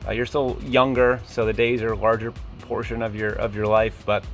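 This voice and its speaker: A man's voice: lowish voice, level tone, normal speed, faint music behind. A man of twenty-eight talking casually as a vlog host, rambling and self-deprecating as he wanders into tangents. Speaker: YouTube creator and host.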